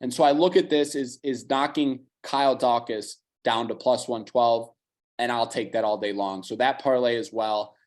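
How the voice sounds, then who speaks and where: A slightly hoarse man co-hosting a podcast, talking bets in a fast, analytical, casual way with the odd swear. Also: mid-range voice, steady tone. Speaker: podcast co-host. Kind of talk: casual betting chat.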